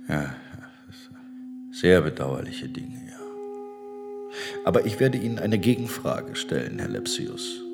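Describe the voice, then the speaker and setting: Cold self-righteous ottoman minister, real deep voice, swings up and down a lot, music running under it. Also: huge pitch swings, creaky, full character voice. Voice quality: measured, lecturing, dismissive. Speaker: government minister. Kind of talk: radio play acting.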